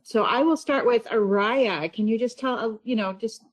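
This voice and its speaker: A middle-aged woman, a parent coach, hosting a panel on a video call, halting and trailing off softly. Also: a little high. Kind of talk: online meeting chat.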